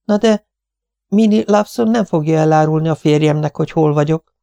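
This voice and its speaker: A man's voice: fairly high pitch, creaky, no echo, voice pushed up. A man voicing a timid, frightened married woman who speaks meekly and falteringly. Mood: anxious. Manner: meek, faltering, hushed.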